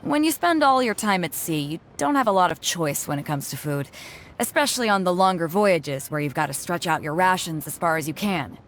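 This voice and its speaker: A woman's voice: on the low side, expressive, fairly quick, lightly acted. A woman voicing a bold, hearty sea captain in a video game, boisterous and blunt. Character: bold, hearty adult female sea captain who likes drink. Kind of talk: animated video game character acting. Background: music.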